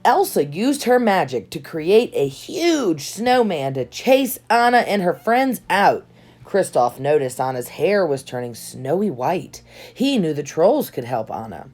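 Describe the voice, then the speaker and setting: A woman reading a children's storybook aloud in expressive narration, with dramatic emphasis on key words. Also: sits low, a little slow. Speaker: storybook reader. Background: soft music.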